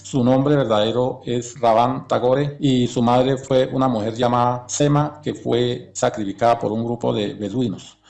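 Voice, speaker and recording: Gravelly male documentary narrator. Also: mid-range voice, a little mellow.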